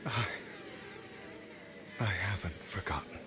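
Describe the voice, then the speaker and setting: A lowish, very slow voice of a guilt-ridden young man in a video game. He sounds subdued and haunted, hushed and halting, with faint music behind. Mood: grieving, hushed. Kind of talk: dramatic video game voice acting.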